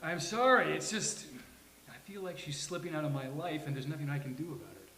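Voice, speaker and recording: A man's voice: on the high side, lively intonation, fairly quick, pushed and bright, a little hiss or hum. A man playing an anxious, lovesick, melodramatic lawyer in a live sitcom table read. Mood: wistful. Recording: roomy live venue sound.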